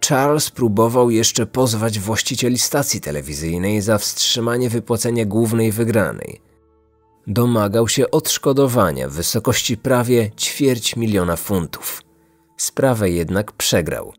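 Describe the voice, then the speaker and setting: A man narrating a scripted true-crime podcast as its host, measured and serious. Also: lowish voice, expressive, soft background music.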